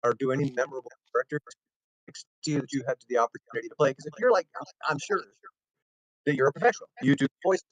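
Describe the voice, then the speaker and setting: A man co-hosting an interview show, talkative and animated, telling stories and asking questions. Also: mid-range voice, fast, no echo, rough recording. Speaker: co-host, improv comedian. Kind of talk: casual interview chat.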